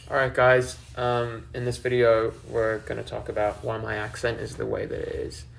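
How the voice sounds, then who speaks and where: A man in his twenties records a personal vlog for his viewers. His mid-range voice has a noticeable gravelly fry. He speaks candidly about himself, with casual swearing. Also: mid-range voice, monotone, normal speed, a little hiss or hum, rough recording. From several countries including the Philippines, Australia and the UK. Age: 20s. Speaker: online video creator.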